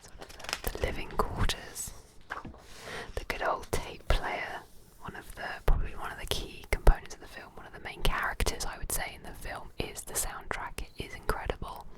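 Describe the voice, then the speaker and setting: A woman in a soft, breathy, unvoiced whisper, giving gentle, chatty, admiring ASMR commentary at an unhurried pace. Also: poor audio. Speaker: ASMR host. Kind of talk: whispered ASMR commentary while leafing through an art book.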